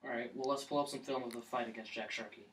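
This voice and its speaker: A man playing a brisk 1920s sports interviewer in a scripted radio show, recorded in an echoey room. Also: mid-range voice, steady tone, very noisy, natural voice. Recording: echoey room, slightly distant mic.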